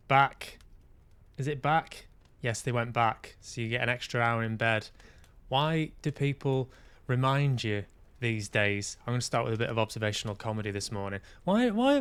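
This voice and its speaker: A man's voice: medium pitch, smooth, no room sound. A man with an English accent hosting a casual livestream, rambling with dry, self-deprecating humour. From Manchester, England. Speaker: podcast host and streamer.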